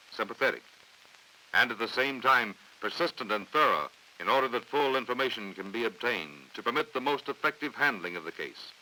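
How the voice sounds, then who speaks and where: American guy doing old 50s instructional film voiceover, super bright punchy voice, formal and authoritative, vintage soundtrack. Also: mid-range voice, rough recording. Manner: formal, authoritative, measured delivery. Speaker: documentary narrator. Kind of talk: scripted 1950s training film narration.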